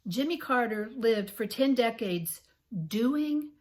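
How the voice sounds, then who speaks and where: A woman's voice: on the high side, lively intonation, slow. Older woman with a scratchy voice. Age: 60s. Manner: earnest, soft-spoken, with throat clearing. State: scratchy voice from illness. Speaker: channel host. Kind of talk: reflective reading to camera.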